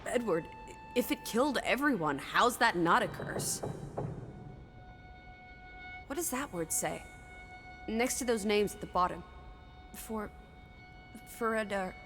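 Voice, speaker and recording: A woman's voice: medium pitch, animated, takes it slowly, long pauses, big-room sound, music in the background. A woman playing a sociable, teasing young companion in a 1920s video game drama, full of playful old-fashioned slang. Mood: concerned.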